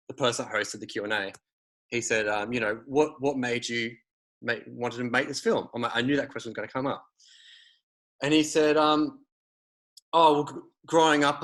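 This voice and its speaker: A man's voice: medium pitch, a bit bright. A man, hosting a casual conversation and rambling with many fillers and restarts.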